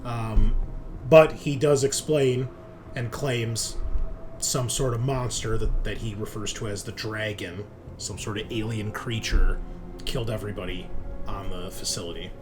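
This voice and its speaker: A man's voice: on the low side, fairly even intonation, unhurried, music in the background. A man running a tabletop roleplaying game, explaining rules and narrating in an informal, salty-tongued way. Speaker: game master.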